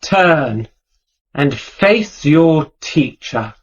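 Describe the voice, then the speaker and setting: Slow, husky, regal old lady. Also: deep voice, lively intonation, muffled recording, full character voice. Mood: hypnotic, commanding. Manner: stately, measured, imperious. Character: regal, blind old mother with hypnotic command. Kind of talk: radio drama acting.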